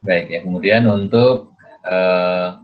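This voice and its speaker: A man lecturing through a worksheet in a halting way, thinking aloud with questions and frequent check-in tags. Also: sits low. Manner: repeatedly adds "ya" as a check-in tag. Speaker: accounting lecturer. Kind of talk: explanatory screen lecture, working through a worksheet. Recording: dull, muffled lo-fi recording.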